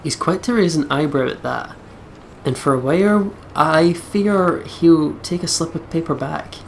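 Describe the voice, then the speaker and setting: A man's voice: a little high, unhurried, vocal fry, slight background noise. A man hosting a let's play, reading visual novel narration aloud expressively with casual commentary.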